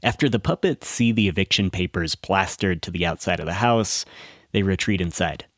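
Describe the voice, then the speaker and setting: A man narrating a scripted documentary podcast in a measured, storytelling way. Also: low voice, no echo, studio quality.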